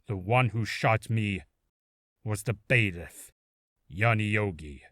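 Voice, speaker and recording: A man's voice: lowish voice, slow pace, fairly bright tone, no room sound, studio quality. A man voicing the solemn ghost of a murdered man, speaking in a grave, declarative way for a game reel. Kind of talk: character voice reel, game acting.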